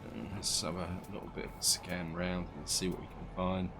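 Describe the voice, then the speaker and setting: A man with a very low voice talks over his gameplay, thinking aloud and often correcting himself. His voice is gravelly and a little croaky from allergies. The home recording has clear background noise. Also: level tone, a little fast. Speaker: gaming video host. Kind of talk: casual gameplay commentary.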